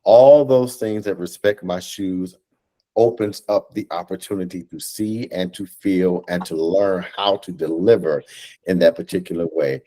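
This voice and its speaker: Low-voiced man, measured and emphatic. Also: dark tone. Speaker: guest, stage play director. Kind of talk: casual interview talk, motivational. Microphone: video call.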